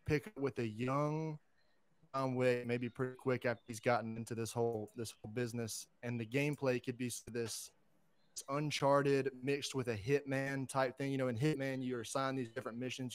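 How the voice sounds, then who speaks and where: A man talking informally and conversationally as a podcast panelist, pitching his picks in a casual, enthusiastic pop-culture chat.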